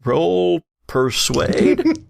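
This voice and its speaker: A man narrating a tabletop horror game as game master, describing scenes in a halting, improvised way. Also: high voice, huge pitch swings, vocal fry, dry recording, studio quality.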